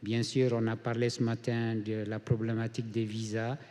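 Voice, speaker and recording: Man from Mali, panel speaker, flat even delivery, mellow voice, long hall reverb. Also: fairly low pitch. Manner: measured, frequent hesitations. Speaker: hotel group founder, panelist. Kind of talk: conference panel remarks. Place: conference hall.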